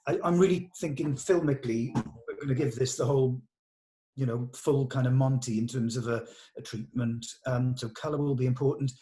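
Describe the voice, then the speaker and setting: A man speaks in a casual meeting style, leading the discussion as a director would. His manner is enthusiastic and encouraging, with an ordinary mid-pitched voice. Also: moderate pace, warm tone, poor audio. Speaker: director leading a script read-through. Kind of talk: casual production meeting chat.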